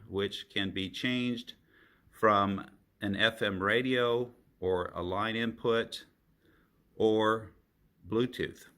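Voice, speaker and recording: A man with a fairly low voice presents a product demonstration. He speaks very slowly and explains each point methodically, in the measured style of a careful reviewer. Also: fairly bright tone.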